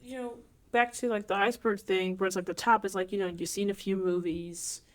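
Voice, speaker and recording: A woman's voice: medium pitch, steady tone, a little fast. A woman guest on a casual podcast, rambling and packing her sentences with fillers. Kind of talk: casual chat.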